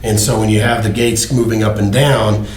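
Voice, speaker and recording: A man with a hoarse voice from a cold, hosting a casual hobby chat with a rambling, upbeat way of explaining things. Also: lowish voice, fairly even intonation, moderate pace, darkish tone, reverberant, a little hiss or hum. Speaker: host.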